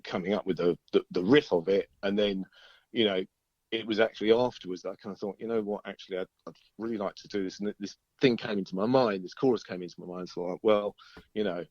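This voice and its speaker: British bloke chatting in an interview, lowish voice, rambly musician type, bit muffled. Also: male voice, steady tone, quick pace, a little mellow, dry recording. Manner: chatty, rambling storyteller, often chuckling. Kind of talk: casual interview chat.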